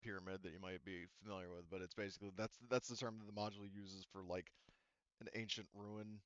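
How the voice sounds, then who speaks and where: A man acting as game master, narrating a tabletop game in a casual, halting way and relaying what characters say. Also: low voice, level tone, clean tone, no echo, highs cut off. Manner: hesitant, casual, relaying character speech indirectly.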